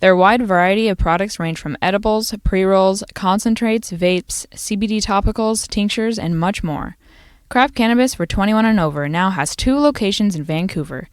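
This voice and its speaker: A woman reads a scripted sponsor announcement in a medium-pitched voice. The studio sound is very dry, with no room to it. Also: moderate pace, clean tone, dry recording. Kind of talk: scripted radio ad read.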